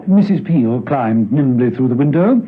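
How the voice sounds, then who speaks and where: A man's voice: mid-range voice, lively intonation, moderate pace. A man narrating a classic radio drama from a script. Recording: narrow-band radio recording over music.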